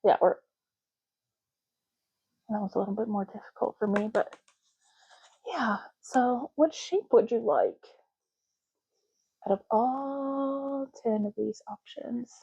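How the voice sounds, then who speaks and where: A woman speaking softly and breathily in an unhurried ASMR roleplay, as a clerk helping a customer, gently lingering on and repeating words. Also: on the high side, lively intonation, lo-fi sound. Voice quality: soft, breathy, at times dropping to a whisper. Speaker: ASMR creator playing an ID card clerk in a roleplay. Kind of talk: ASMR roleplay, soft-spoken and casual.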